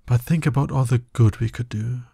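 A man playing a smug, seductive, dominant villain in an ASMR roleplay, husky and breathy, with a slow, condescending, teasing manner. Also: medium pitch, fairly even intonation, warm tone, dry recording, studio quality. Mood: tempting, soft. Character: smug, seductive, dominant male villain courting his hero nemesis.

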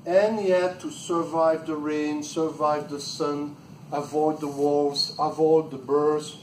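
A man's voice: on the high side, little pitch movement, slow, slight background noise. A middle-aged man with a French accent, a native French speaker, giving a chatty, self-deprecating conference presentation with small laughs. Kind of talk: plenary presentation. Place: meeting room. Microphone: far camera. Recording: echoey room from a distant camera.

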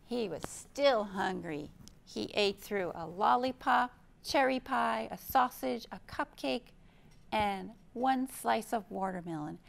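Unhurried, gravelly American storytime woman. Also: fairly high pitch. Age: middle-aged. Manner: expressive, simple explaining for young children. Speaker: children's librarian, storytime host. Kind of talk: children's storytime read-aloud and show-and-tell. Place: indoor library room.

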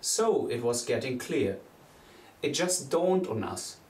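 A young man, a teacher from Germany, giving a scripted presentation in an earnest, steady way. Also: medium pitch, takes it slowly, a little hiss or hum. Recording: echoey room, voice a bit distant from the mic.

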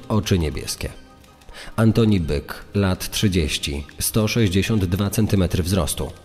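Deep-voiced male narrator in long reverb. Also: a little mellow. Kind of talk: scripted true-crime narration. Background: music.

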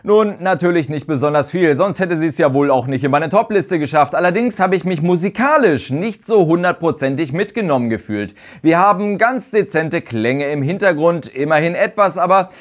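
A man's voice: a little high, animated, normal speed, few pauses. A man in his thirties delivering scripted, articulate commentary as a video host. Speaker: video host presenting a ranking. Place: small home studio room. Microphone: lapel mic. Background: eerie background music.

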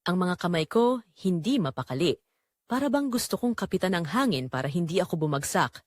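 A woman's voice: lowish voice, big pitch swings, a little fast, no echo, studio quality. A woman storyteller reading a scripted first-person romance drama in a dramatic narrating style.

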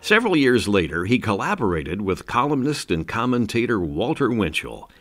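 A man's voice: on the low side, very animated, normal speed, fairly bright tone, soft background music. A man reading scripted narration for a documentary.

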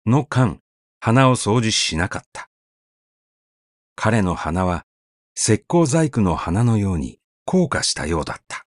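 A man's voice: on the low side, big pitch swings, takes it slowly, pauses often, dry recording, clean studio sound. A man narrating a short story as a scripted audiobook reading, telling it expressively. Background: faint background music.

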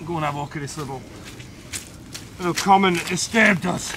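A man's voice: on the high side, fairly quick. A man outdoors by a lake, chatting in a laid-back way and bantering with his brother. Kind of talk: casual chat. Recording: outdoor noise behind.

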